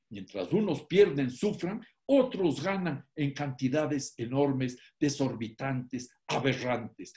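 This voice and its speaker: An older Ecuadorian man delivers a public talk over a laptop webcam from home. His delivery is emphatic and measured, building points through lists and leaning on key words, at an ordinary mid pitch. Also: dark tone. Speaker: economist giving an online talk. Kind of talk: public lecture. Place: home room, indoors. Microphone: laptop webcam on a video call.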